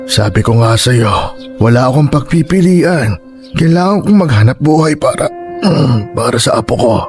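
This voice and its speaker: A man acting in a radio drama as a humble, weary elderly grandfather with a Filipino accent, halting and apologetic. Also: medium pitch, expressive, a little mellow, natural voice. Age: older. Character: humble, weary elderly grandfather street vendor, stubbornly hardworking. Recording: music bed under dialogue.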